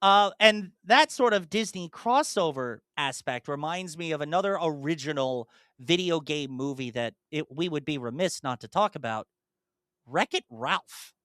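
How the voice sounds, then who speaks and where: A podcast host chatting casually in an animated, rambling way, slipping into imagined dialogue. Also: mid-range voice, normal speed, no room sound, clean studio sound. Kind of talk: casual chat, enthusiastic monologue about films.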